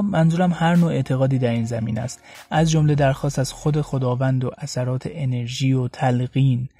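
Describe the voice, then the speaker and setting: A man's voice: medium pitch, dark tone, dry recording. A man reading a scripted first-person story as a radio serial narrator. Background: background music.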